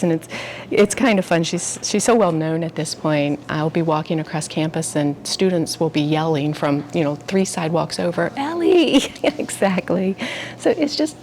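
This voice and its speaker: A woman's voice: deep voice, darkish tone. A woman giving a casual, conversational interview answer. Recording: some background ambient noise.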